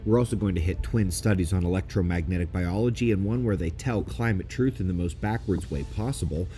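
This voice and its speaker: A man's voice: deep voice, brisk, warm tone, faint music behind. A man presenting a scripted-sounding morning update to viewers, heard in a played-back clip. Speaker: space weather presenter.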